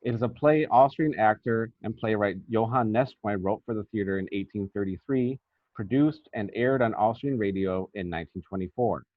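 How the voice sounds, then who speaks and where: A man's voice: lowish voice, normal speed, dry recording. A man reading a scripted academic paper aloud, on a muffled, narrow-band recording. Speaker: academic researcher presenting a paper.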